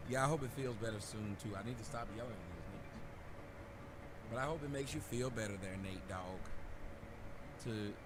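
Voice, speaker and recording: Man streamer losing his voice, noisy. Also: on the low side. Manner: loose, needling banter with chat. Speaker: live streamer. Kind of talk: casual live-stream chat with viewers. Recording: noisy background under voice.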